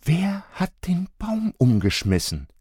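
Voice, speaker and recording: A worried mother frets in a lifted, gentle voice, played by a male storyteller. She speaks slowly and with an expressive melody. The sound is dry. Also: high voice, husky, no room sound, pitched above the performer's own voice, exaggerated voice. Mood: fretful.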